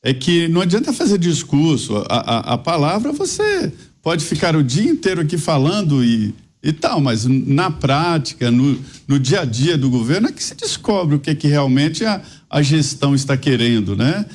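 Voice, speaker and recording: A man's voice: fairly high pitch, sing-song, normal speed. Emphatic mineiro man arguing politics. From Minas Gerais, Brazil. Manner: emphatic, argumentative, rambling asides. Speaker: political commentator. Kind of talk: panel debate commentary.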